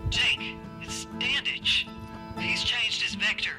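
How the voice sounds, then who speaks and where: A woman's voice: fairly high pitch, slow pace, music in the background. A woman playing a stern, decisive captain in a video game, commanding and curt. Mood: alarmed. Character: stern, decisive female captain, mech-piloting protector.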